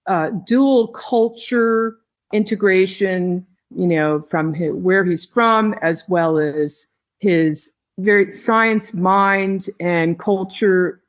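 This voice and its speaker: A woman giving a reflective interview as a guest, speaking in a halting, thoughtful way with phrases broken by pauses. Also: mid-range voice. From not originally from Hawaii, long resident there. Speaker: podcast guest, tea grower and company head.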